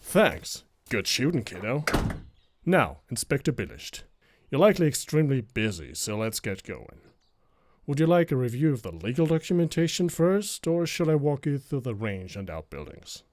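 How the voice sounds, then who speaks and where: A man voicing a businesslike, easygoing security chief, polite and plain-spoken, in an animated comic dub. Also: mid-range voice, moderate pace, dry recording, clean studio sound, lightly acted. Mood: courteous.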